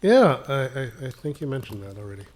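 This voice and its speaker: A man's voice: mid-range voice, sing-song, fairly quick, a little mellow, close to an everyday voice. A man playing a reluctant, weary companion, hesitant and resigned, in a comic audio drama. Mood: weary, polite.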